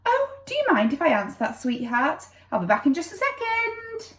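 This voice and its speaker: A kindly storybook mother speaks gently and apologetically to her child, as a woman reader plays her. Her voice is high and lilting, with room echo. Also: huge pitch swings, normal speed, heavily acted. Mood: apologetic, affectionate. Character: kindly mummy reading her little boy a bedtime story.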